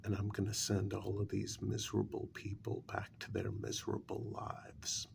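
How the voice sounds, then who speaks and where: A man playing a brooding, bitter kidnapper in a soft-spoken ASMR role-play, gravelly and often slipping into a whisper, speaking deliberately with quiet menace. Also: lowish voice, monotone, noisy background. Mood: bitter, threatening. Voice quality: gravelly, breathy, drifting into whisper. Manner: slow, deliberate, quietly menacing, with long pauses. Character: brooding, bitter male kidnapper confronting a captive demon.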